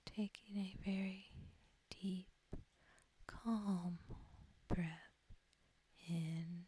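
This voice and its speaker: A woman softly whispering a guided sleep hypnosis in a breathy, husky voice, gentle and soothing, with long deliberate pauses. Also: medium pitch, fairly even intonation, slight background noise. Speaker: ASMR host guiding sleep hypnosis. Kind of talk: soft-spoken ASMR guided relaxation.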